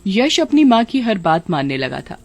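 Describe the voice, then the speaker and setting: A woman's voice: medium pitch, big pitch swings, normal speed. A woman giving scripted narration for a children's cartoon story.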